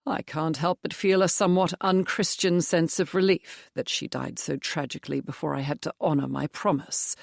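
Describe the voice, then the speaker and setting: A woman's voice: sits low, steady tone, moderate pace, gravelly, few pauses, no echo, clean studio sound. A woman reading as a rueful middle-aged clergyman. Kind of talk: audiobook dialogue.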